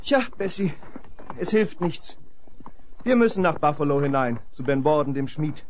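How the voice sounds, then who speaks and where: A man's voice: on the high side, expressive, normal speed, close to an everyday voice. A male actor playing a plucky, friendly young rancher's son in a 1970s western radio play, familiar and assured. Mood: gentle, chatty. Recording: noisy vinyl radio play with animal sound effects.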